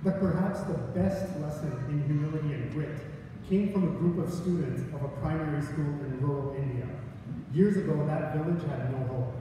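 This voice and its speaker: A man's voice: on the high side, normal speed, background noise. A man giving a valedictorian speech at a graduation, earnest with humorous asides. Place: large hall. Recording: echoey hall from far off.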